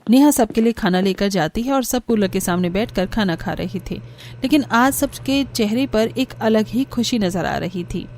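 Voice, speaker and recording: Mellow-voiced woman narrating a story. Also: medium pitch, expressive, normal speed, slight background noise.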